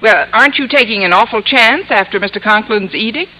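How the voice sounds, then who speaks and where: An actress playing a wry, quick-witted schoolteacher in an old-time radio sitcom, with a dry, sardonic manner. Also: female voice, medium pitch, lively intonation, sharp tone, rough recording. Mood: coy, dry. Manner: dry, sardonic, crisply ironic. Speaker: actress and narrator in a radio comedy. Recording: vintage radio recording, studio audience.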